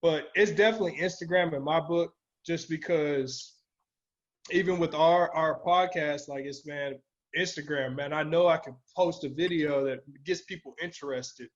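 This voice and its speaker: A man's voice: fairly high pitch, moderate pace, muffled recording. A man co-hosting a podcast in casual, slangy, profane talk, putting his opinions bluntly. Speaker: podcast co-host. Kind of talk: casual chat, podcast discussion.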